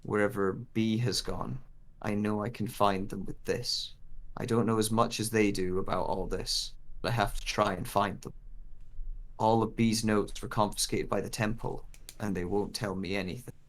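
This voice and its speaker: A man's voice: on the low side, steady tone, normal speed, close to an everyday voice. An actor playing a bewildered searcher with lost memory, narrating in the first person as he reads a dramatic script. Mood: determined.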